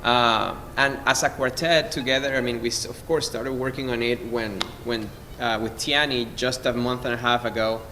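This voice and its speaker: A man from Venezuela, a cellist, introducing music informally in an effusive, halting way as he searches for words. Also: mid-range voice, steady tone. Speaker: cellist in a string quartet. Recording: noisy, long hall reverb.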